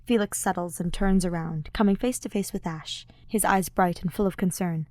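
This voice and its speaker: A woman's voice: on the low side, steady tone, normal speed, mellow, no room sound. Woman narrating fiction in a dry space. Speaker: audiobook narrator.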